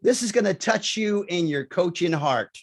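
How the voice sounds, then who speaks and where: A man hosting a casual interview show, playfully teasing his guest with quick-fire questions. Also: sits high, animated, vocal fry. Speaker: show host.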